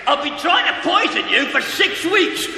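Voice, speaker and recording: An actor playing a bitter, sardonic dying army cook in a theatrical television drama, ranting, mocking and self-pitying, swinging from shouts to mutters. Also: male voice, very high-pitched, very animated, normal speed, exaggerated voice. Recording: echoey reverberant hall, noisy old TV recording.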